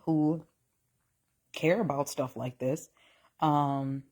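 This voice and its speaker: A woman's voice: low-pitched, a little mellow. A woman in her forties talking casually to a live audience about faith and relationships, often restarting her sentences and reading comments aloud. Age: 40s. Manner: reads chat aloud, exclaims in mock outrage; slow, repetitive, restarts often. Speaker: live stream host.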